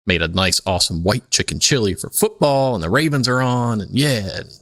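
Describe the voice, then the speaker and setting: A man's voice: fairly low pitch, expressive, brisk, fairly bright tone, no echo, clean studio sound. A man co-hosting a podcast, telling stories casually in a rambling, salty way full of filler words. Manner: rambling, profane, peppered with "like". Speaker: podcast host. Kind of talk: casual chat, anecdotal storytelling.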